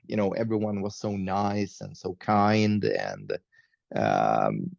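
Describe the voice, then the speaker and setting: A man's voice: low-pitched, expressive, slow pace, creaky, dry recording, lo-fi sound. A man whose first language is Swedish, a metal musician chatting as an interview guest, hesitant with many fillers and restarts. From Sweden. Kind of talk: casual interview chat.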